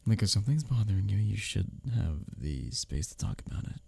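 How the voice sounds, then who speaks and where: A man acting as a sardonic male office rival in an intimate ASMR roleplay. His voice is low and husky, and it swings expressively as he needles you, with a caring streak underneath. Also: sing-song, a little fast, darkish tone, no echo, exaggerated voice. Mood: gently concerned. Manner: intimate, teasing, sardonic, chuckling between lines. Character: teasing, bickering male workplace rival, secretly caring. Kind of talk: ASMR audio roleplay, one-sided dialogue.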